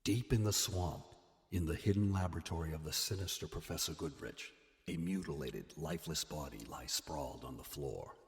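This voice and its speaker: A man's voice: extremely low pitch, normal speed, a little hiss or hum. A man giving ominous, theatrical scripted narration for a horror drama. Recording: long echoing reverb, music behind.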